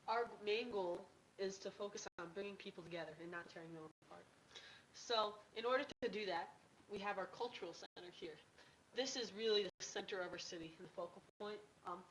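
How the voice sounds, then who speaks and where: Girl student doing a presentation, kinda halting, normal pitch, bit of background noise. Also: a bit bright, low-quality recording. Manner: hesitant, halting. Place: meeting room.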